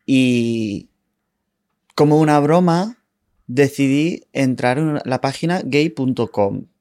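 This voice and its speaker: A man's voice: mid-range voice, slow, darkish tone, dry recording, studio quality. A man in his twenties from Ohio, a native English speaker, casually telling his personal story as an interview guest. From Ohio, United States. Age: 20s.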